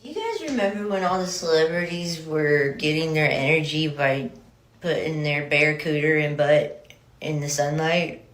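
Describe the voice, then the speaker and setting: A woman's voice: deep voice, normal speed, slight background noise. A woman in casual comic chat, asking incredulous rhetorical questions. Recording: echoey room.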